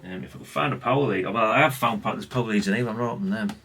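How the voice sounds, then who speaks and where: A man's voice: fairly low pitch, rapid-fire, a bit bright, breathy edge. A middle-aged man chatting casually and colloquially in a rambling vlog, often tagging questions onto what he says. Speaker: vlogger, radio collector.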